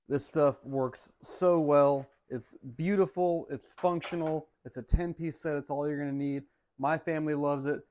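A man's voice: on the high side, darkish tone. An American man in his thirties giving a casual, upbeat product review and demo to camera in an easygoing, folksy way. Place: home dining room and kitchen.

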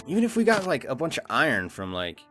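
A man hosting a casual let's play, excitable and jokey, yelling at game events. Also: mid-range voice, fast talker. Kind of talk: casual gameplay chat. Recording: loud game music behind voice.

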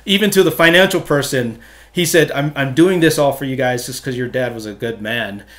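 A man's voice: medium pitch, brisk, fairly bright tone. A middle-aged man from Hawaii, a photographer, answering interview questions earnestly with many restarts, in a small studio room at a desk mic. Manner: earnest, talks with his hands, many restarts. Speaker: photographer guest. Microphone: desk mic on stand.

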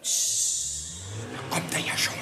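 An actor playing a scornful Mafia boss in a children's radio play, giving orders in a hushed voice. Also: male voice, rumbling low, very animated, slow pace, loud music behind the voice, full character voice. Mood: hushed commanding. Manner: hushed, commanding.

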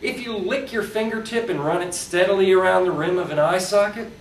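A man reads his short horror fiction aloud at a live event in a theatrical, deliberate storytelling manner with wry humour. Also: high voice. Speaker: author reading his own fiction. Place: live reading at a convention, indoors. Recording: roomy, distant live recording with background noise.

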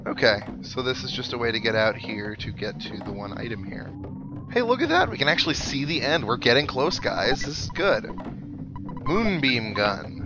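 A man's voice: medium pitch, sing-song, moderate pace. A man giving casual video game commentary as a let's play host, rambling and thinking aloud with mild exclamations. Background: video game music.

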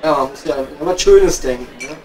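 A man's voice: on the high side, a little fast, a faint crowd, natural voice. A man with a Ruhr accent playing a cheeky, girl-chasing coal miner chatting in a pub in an improvised audio comedy. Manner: cheeky, colloquial, rambling. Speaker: comedy performer. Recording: distant from the microphone. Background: music.

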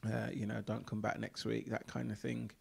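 A man in his thirties, a church leader, talking in a relaxed conversational way with frequent ums and easy laughs, on a handheld mic in a small room. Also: fairly low pitch, a little fast, vocal fry, breathy edge. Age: 30s. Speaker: church leader, mission coordinator. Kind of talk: casual conversation.

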